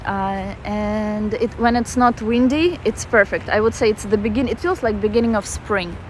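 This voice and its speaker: A woman's voice: mid-range voice, moderate pace, a little hiss or hum, poor audio. A woman with a Russian accent chatting casually while walking outdoors, reading out viewer comments on a phone livestream. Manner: chatty, reads viewer comments aloud with fillers. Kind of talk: casual live-stream chat reading comments. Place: outdoors in a city park.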